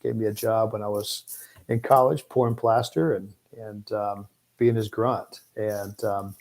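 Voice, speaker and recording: A man speaking as a guest in a casual interview, informal and somewhat halting, with repeated words and pauses. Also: fairly low pitch, steady tone, darkish tone. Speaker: guest, prosthetist.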